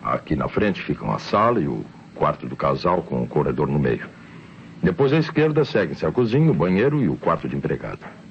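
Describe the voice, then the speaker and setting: A man's voice: a bass voice, big pitch swings, fairly quick, exaggerated voice. An actor playing a methodical policeman giving a briefing in an old radio drama. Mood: methodical, explanatory. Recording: dull, slightly noisy old radio recording.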